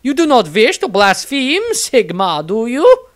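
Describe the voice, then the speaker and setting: A man's voice: very high-pitched, wildly swooping pitch, a little slow, pitched above the performer's own voice, heavily acted. A man voicing an overbearing, amorous, zealous nun in an audio story, gushing and cooing with great emphasis. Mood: zealous, insistent.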